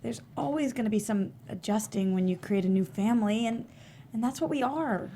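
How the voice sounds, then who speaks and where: An actress reading a frank, caring wife in a script table read, wry and direct. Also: female voice, a little high, lively intonation, a little fast, mellow, a little hiss or hum. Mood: earnest.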